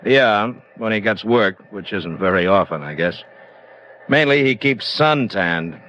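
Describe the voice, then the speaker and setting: An actor playing an easygoing, helpful American police sergeant, casually giving information in an old radio drama. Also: male voice, fairly low pitch, expressive, unhurried, a bit bright. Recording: muffled vintage radio recording.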